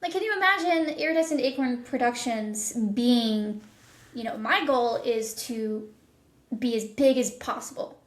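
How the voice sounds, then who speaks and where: A woman's voice: on the high side, measured pace. A woman chatting casually and emphatically as an enthusiastic podcast guest who produces indie audio drama. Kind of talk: casual interview chat. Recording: roomy, echoing voice.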